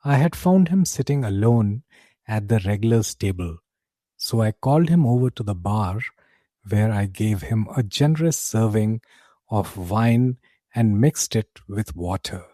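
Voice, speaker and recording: A man narrating a scripted fantasy tale in character as a tavern keeper, with theatrical, deliberate phrasing. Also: on the low side, animated, mellow, no echo. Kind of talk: dramatic scripted fantasy storytelling.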